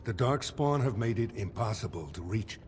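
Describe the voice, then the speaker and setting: An actor playing an authoritative dwarf lord in a fantasy game, speaking formally and in measured phrases. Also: male voice, on the low side, animated, darkish tone, slight background noise, lightly acted. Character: authoritative male dwarf lord briefing troops.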